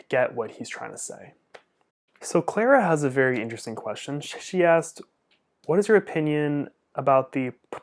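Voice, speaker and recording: Young man, noticeable stutter, repeated first sounds, casual Q&A talk, mid-pitched voice. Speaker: speech pathologist answering viewer questions. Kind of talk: casual Q&A monologue.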